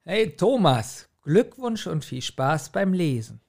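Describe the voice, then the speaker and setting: A man with a slightly high, noticeably creaky voice talks casually as a podcast co-host. He comes across as a teaser, needling in a chatty way. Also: sing-song, slow, darkish tone. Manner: teasing. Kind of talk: casual chat.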